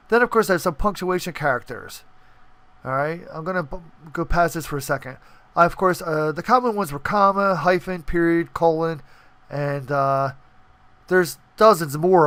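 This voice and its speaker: A man's voice: a little high, bright, forceful tone, clear, resonant tone, dry recording. A man presenting an informal programming tutorial, explaining step by step and checking in with the listener. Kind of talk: informal screencast tutorial walkthrough.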